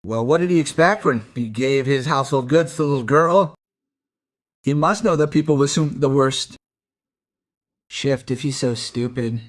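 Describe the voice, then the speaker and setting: An actor playing a defiant, resentful young out-of-work suspect in a crime radio play, sullen and evasive. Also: male voice, mid-range voice, moderate pace, lightly acted. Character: defiant, resentful young unemployed working-class male suspect.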